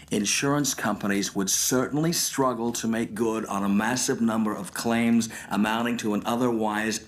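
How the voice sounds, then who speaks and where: A man playing a self-righteous insurance chief executive giving a measured, formal interview statement. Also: mid-range voice, fairly bright tone, slight background noise. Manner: pompous, formal.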